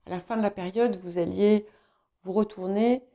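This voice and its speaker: Female tarot reader, English mother tongue, mellow creaky delivery, halting and reassuring. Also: mid-range voice, fairly even intonation. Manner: hesitant, searching for words, reassuring. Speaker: tarot reader hosting guidance videos. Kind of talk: informal intuitive tarot reading to viewers. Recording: slightly dull, muffled sound.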